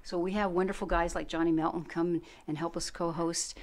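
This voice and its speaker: A woman's voice: fairly low pitch, flat delivery, brisk. A woman hosting a casual political talk show, chatty and friendly with her guests. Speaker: show host.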